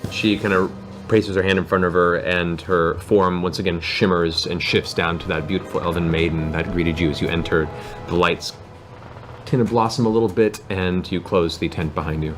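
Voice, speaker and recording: A man acting as game master, narrating a tabletop fantasy game in vivid, halting description. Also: sits low, steady tone, over music.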